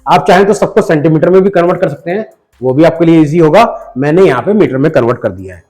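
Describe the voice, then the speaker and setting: Hoarse male math teacher. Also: fairly high pitch, animated, darkish tone. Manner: patient, addresses the viewer directly, repeats numbers slowly. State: hoarse from a cold. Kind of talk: step-by-step math lesson voice-over.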